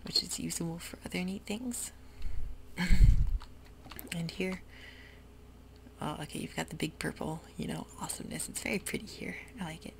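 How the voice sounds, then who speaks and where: A host whispering a slow, meandering tour of a game world, close to the microphone, with frequent pauses and fillers. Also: mid-range voice, gravelly. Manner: soft mostly unvoiced whisper; hesitant, meandering, with many fillers. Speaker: gameplay ASMR host. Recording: audible hiss with keyboard clicks.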